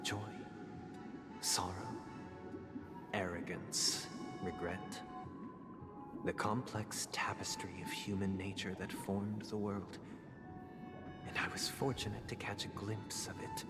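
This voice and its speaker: A man playing a grave, polite, melancholy family head in a video game, speaking in measured, formal monologue with rhetorical pauses. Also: on the low side, little pitch movement. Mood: solemn, reflective. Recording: long reverb, loud music behind. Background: loud game music.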